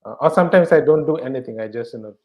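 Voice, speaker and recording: A man from eastern India, a native Bengali speaker with a Bengali Indian accent, answering casually in an interview at home in halting, repetitive phrases. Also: medium pitch, animated, darkish tone. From West Bengal, eastern India. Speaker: podcast guest, bank professional.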